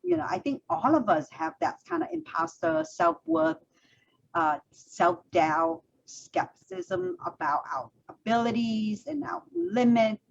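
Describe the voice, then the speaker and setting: A woman hosts an interview with a lively, animated delivery and a gravelly edge to her voice. She talks thoughtfully and reaches for fillers often. The video-call audio is dull and lo-fi, with a faint hiss underneath. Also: fairly high pitch, unhurried. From Vietnam. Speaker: host. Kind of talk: conversational interview.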